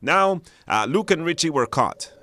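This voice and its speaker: A man's voice: fairly high pitch, very animated, unhurried, pushed and bright. Man hosting a radio call-in. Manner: repeats phrases, chatty and informal. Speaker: radio show host. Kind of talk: live radio hosting, taking phone-in calls. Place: radio studio.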